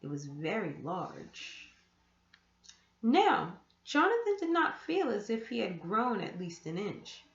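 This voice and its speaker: A woman reading a children's story aloud with expressive, deliberate storytelling. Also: medium pitch. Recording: echoey room, dull muffled sound.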